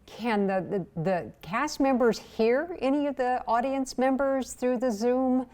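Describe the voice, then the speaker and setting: A woman's voice: a little high, a little slow, darkish tone. A woman hosting an interview in a conversational broadcast style, introducing and questioning her guest. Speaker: interview host.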